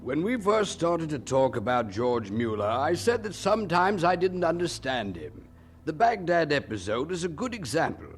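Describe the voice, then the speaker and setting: A man's voice: a little high, big pitch swings, normal speed, soft background music. A man playing a candid, wryly puzzled preacher who narrates his memories in a radio drama, reflective and conversational.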